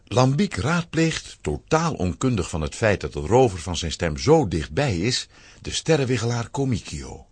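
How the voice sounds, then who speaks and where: Male narrator, children's audio drama, lively intonation, husky, close dry studio sound. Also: fairly low pitch, moderate pace, no room sound. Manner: expressive storytelling. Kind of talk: scripted children's story narration.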